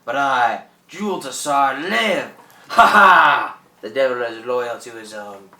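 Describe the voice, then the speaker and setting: An actor playing a boastful, menacing old pirate in a theatrical radio play. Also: male voice, mid-range voice, lively intonation, takes it slowly, sharp tone, heavily acted. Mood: gloating. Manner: theatrical, gloating, cackling. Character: boastful old French pirate, greedy and menacing, newly revived. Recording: roomy, distant microphone.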